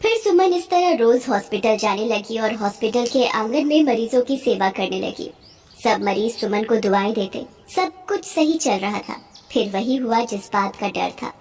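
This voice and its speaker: A woman's voice: fairly high pitch, animated, normal speed, darkish tone, a little hiss or hum. A woman storyteller reading scripted narration for a cartoon story.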